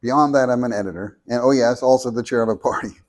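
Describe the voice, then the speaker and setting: A soft-spoken man reading a prepared talk into a handheld microphone at a meeting, measured and deliberate, with small restarts. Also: mid-range voice. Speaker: speaker at a meeting. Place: meeting room with an audience.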